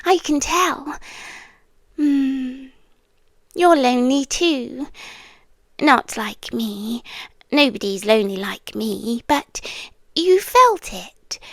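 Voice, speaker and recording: A British woman playing a lonely, possessive demon girlfriend in an intimate ASMR roleplay, breathy and coaxing, often slipping into whispers with lingering pauses. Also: high voice, no echo, full character voice. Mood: wistful, intimate. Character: lonely, possessive yandere demon girlfriend, once human, soft-spoken. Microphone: close ASMR microphone, dry.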